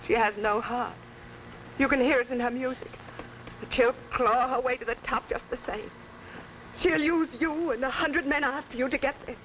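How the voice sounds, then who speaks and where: An actress playing a bitter, jealous and commanding invalid wife in early 1950s television drama. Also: female voice, high-pitched, steady tone, moderate pace, a bit bright. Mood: bitter, suspicious. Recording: noisy old 1950s TV soundtrack.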